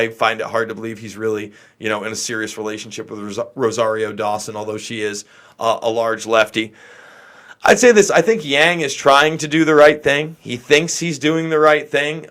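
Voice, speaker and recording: A man's voice: mid-range voice, fairly bright tone. An American man giving casual, unscripted political commentary as a show host, in a blunt, exasperated, opinionated way.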